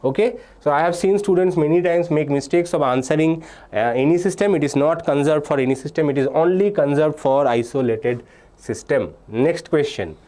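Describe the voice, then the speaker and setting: A man's voice: on the high side, moderate pace, dark tone. A young man from India teaching a live online physics exam-prep class, reading student answers from chat and repeating key points between "uh"s and "okay"s. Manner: explains step by step, reads student names and answers from chat.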